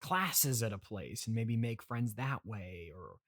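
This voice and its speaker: A man hosting an improvised comedy interview in casual chat, speaking in a halting, politely reluctant way. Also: fairly low pitch, lively intonation, a bit bright. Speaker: podcast host.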